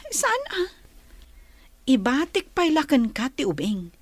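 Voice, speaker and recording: A woman's voice: high voice, wildly swooping pitch, slow, no echo, exaggerated voice. A woman playing an irresponsible, stubborn young wife in an Ilocano radio drama, whiny and sulky as she justifies herself. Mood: stubborn, pleading.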